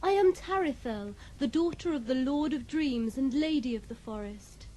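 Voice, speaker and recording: A woman's voice: high-pitched, expressive, normal speed, mellow, slight background noise, poor audio. A woman playing a proud young noblewoman of the forest, poised and theatrical, in a fantasy audio drama. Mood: intrigued.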